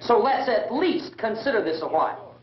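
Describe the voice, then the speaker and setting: A man's voice: sits high, animated, normal speed. An actor playing an earnest, reasonable young American man in an old horror film, a polite, pleading peacemaker. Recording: echoey, dull old film soundtrack, distant.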